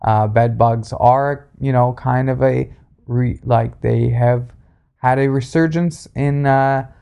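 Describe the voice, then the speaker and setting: A man telling a story casually as a podcast host, in a halting, sarcastic way, speaking close to a handheld recorder. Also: medium pitch, fairly even intonation, vocal fry, dark tone, clean tone. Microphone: handheld recorder, spoken into closely.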